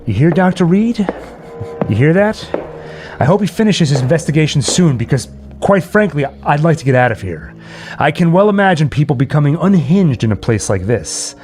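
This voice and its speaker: A man playing a nervous, rambling man alone in a haunted house in a horror radio play, halting and talking straight to his listeners. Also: fairly high pitch, very animated, darkish tone, faint music behind. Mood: jumpy, nervous. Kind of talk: old-time horror radio play monologue.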